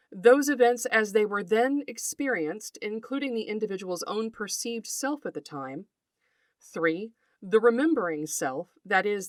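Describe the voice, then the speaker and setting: A middle-aged woman hosting a podcast, reading a scripted narration in an articulate, deliberate storytelling way and speaking directly to her listeners. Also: mid-range voice, no echo. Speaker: podcast host, writer and folklorist.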